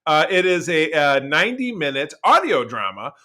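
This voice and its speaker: The guy at the comic shop counter, bright forceful voice, rambling happily through his latest review. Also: on the high side, very animated, moderate pace. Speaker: podcast co-host reviewing pop culture. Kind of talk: casual chat.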